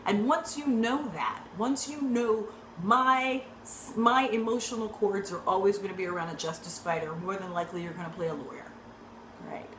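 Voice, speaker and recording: A woman acting coach giving an energetic talk to actors, often checking in with "right?". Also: mid-range voice, normal speed, a little hiss or hum. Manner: energetic, keeps asking "right?". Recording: echoey room with faint ambience.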